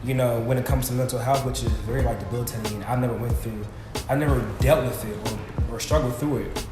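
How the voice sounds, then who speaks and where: A young male host leading a relaxed panel talk, his voice bouncing around an echoey room. He speaks loosely, full of "like" and "um," and reacts lively to his guests. Music plays clearly behind him. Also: medium pitch, fast talker. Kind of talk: casual panel discussion.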